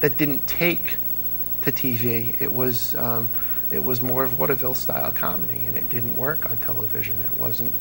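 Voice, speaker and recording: A man being interviewed as a fan of old-time radio, telling lively anecdotes in a relaxed conversational way. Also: medium pitch, normal speed, vocal fry, noisy background. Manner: animated storyteller, mimics voices in anecdotes. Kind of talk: casual anecdotal chat.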